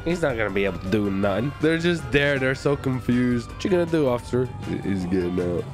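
Man, excited gaming commentary, boisterous, very animated pitch, swearing, clear background music. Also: fast. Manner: boisterous, swearing, frequent shouts and whoops. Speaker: gaming video host.

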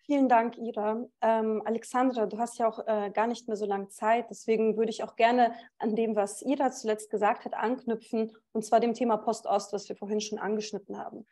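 Female moderator on a video call; mellow voice, somewhat higher pitch, measured questions. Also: flat delivery. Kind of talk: online panel moderation.

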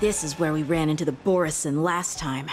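A woman's voice: deep voice, fairly even intonation, a little fast, a little mellow, faint music behind, natural voice. Woman as eager teen knight, earnest, questioning, light score behind. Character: eager young male knight, bold and quick to question. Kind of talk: game cutscene voice acting.

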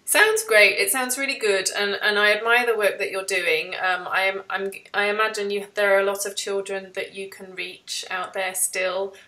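A woman with a bright, crisp-edged voice of average pitch conducts an interview over a video call. Her questions come in halting phrases, with an encouraging, conversational manner. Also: fairly even intonation. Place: indoor room, video call. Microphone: computer on video call.

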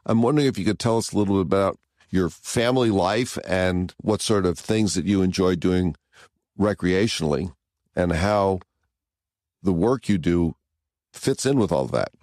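A man with a very low voice hosts a podcast, putting questions to his guest in a measured, plain manner. He is a criminal defense lawyer. The recording is dry and close, with no room sound. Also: darkish tone, no room sound. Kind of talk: interview questions.

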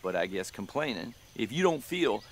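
An actor playing a jocular 1930s hitchhiking troubadour, rambling and wisecracking in folksy talking-blues style for radio drama. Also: male voice, on the low side, very animated, normal speed, slight background noise. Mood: earnest. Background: acoustic guitar.

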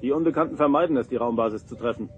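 A man playing a decisive, dutiful starship first officer in an audio drama, brisk and military. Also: mid-range voice, muffled recording, soft background music, close to an everyday voice. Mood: brisk reporting. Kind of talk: German science fiction audio drama acting. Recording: noisy scene with engine-like ambience.

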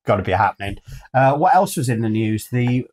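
A man's voice: mid-range voice, animated, brisk, darkish tone. A British man in his fifties chatting casually as co-host of a news show, recorded in a small room indoors. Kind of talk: casual chat.

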